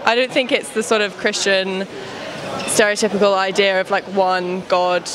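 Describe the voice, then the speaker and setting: A woman thinks aloud about her beliefs on a busy street, pausing to search for words. clear crowd chatter surrounds her. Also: mid-range voice, flat delivery, rough recording.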